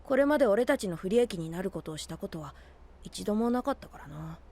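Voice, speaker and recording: A woman playing a cocky, blunt boy with an old head on young shoulders, speaking in rough casual style in an anime audio drama. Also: medium pitch, animated, brisk, mellow. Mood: frank. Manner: rough, casual, brash boyish speech. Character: cocky, blunt boy who is secretly much older.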